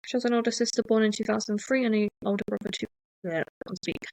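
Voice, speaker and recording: A woman hosting a casual podcast, rambling and hesitant, often stopping to restart her sentences. Her voice has a gravelly texture and sits in a middle pitch. She is recorded dry and close, with almost no room sound. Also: fairly even intonation, a little mellow, no room sound, poor audio. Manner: speaks slower than usual, as to her parents. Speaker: podcast host.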